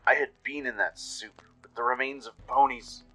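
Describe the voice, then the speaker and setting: A man's voice: medium pitch, fairly even intonation, moderate pace, bright, forceful tone, faint music behind, low-quality recording. A man giving dramatic scripted narration as the storyteller and announcer of a radio play.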